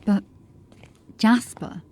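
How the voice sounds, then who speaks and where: A woman acting a sober, thoughtful city watch officer in a fantasy audio drama, speaking in a measured way. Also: a little mellow. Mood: concerned.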